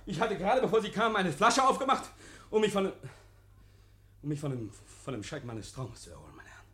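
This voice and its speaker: Überdrehter, nervöser Mann, lebhafte Stimmführung. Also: male voice, high voice, very animated, fairly quick, fairly bright tone. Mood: jovial, insistent. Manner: effusive, stammering, repeats himself, chuckling. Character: nervous, over-eager male murder suspect hosting police. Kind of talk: 1950s German radio play acting.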